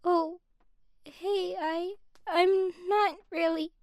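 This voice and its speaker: A woman playing a timid, fragile, guilt-ridden youngster in an audio drama, with halting, delicate delivery. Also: very high-pitched, steady tone, a little mellow, no echo, studio quality, full character voice. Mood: drained grief.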